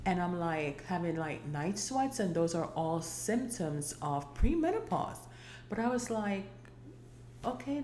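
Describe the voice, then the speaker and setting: A woman's voice: lowish voice, a little mellow, reverberant, a little hiss or hum. A woman in her forties hosting a casual solo podcast, chatty and rambling, with many fillers and restarts. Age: 40s. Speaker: podcast host.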